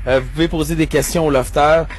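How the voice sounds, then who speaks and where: A man's voice: on the high side, fairly even intonation, fast, a little hiss or hum. A man with a Quebec French accent hosting a casual radio talk show, teasing his guests and laughing often. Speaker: radio host.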